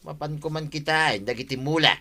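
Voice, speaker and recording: An actor playing a plain-spoken, hard-working village farmer with an Ilocano accent in a radio drama. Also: male voice, a little high, a little fast, bright, forceful tone. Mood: eager. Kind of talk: comic radio drama acting.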